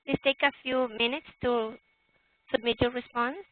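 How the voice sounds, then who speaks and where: A woman's voice: a little high, fairly even intonation, moderate pace. A woman moderating a webinar, reading polite scripted transitions. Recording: tinny phone line.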